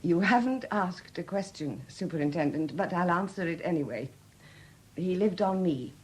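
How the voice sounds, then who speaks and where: An actress in a 1970s radio drama playing a weary, flustered sculptress, newly widowed and proud, speaking candidly in hesitant, halting phrases. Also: female voice, on the low side, animated, natural voice. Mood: dry, candid. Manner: hesitant, halting, repeating words. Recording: noisy old 1970s radio recording.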